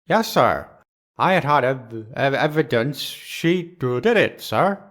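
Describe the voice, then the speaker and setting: An actor playing a bumbling, nervous police detective with a heavy stammer and a deferential manner, in a video game dub. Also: male voice, medium pitch, expressive, takes it slowly, a bit bright. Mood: flustered, stammering.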